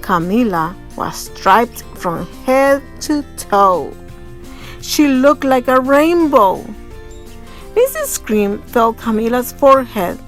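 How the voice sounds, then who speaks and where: A woman reading a children's story aloud as a storyteller, in an expressive, deliberate manner. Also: a little high, soft background music.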